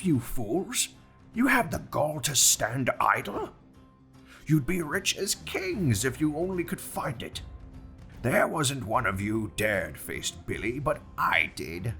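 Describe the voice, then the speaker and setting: Pew, a shrieking blind old pirate. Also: male voice, medium pitch, sing-song, takes it slowly, vocal fry, pushed and bright, soft background music, voice pushed up, full character voice. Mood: raging. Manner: shrieking, snarling commands. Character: furious blind old male pirate beggar.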